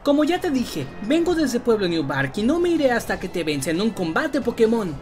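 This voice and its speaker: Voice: medium pitch, sing-song, brisk, a little mellow, soft background music, close to an everyday voice. A performer voicing a cocky, stubborn young boy trainer in a Latin American Spanish cartoon parody fandub. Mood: stubborn, eager. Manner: stubborn, blithely menacing.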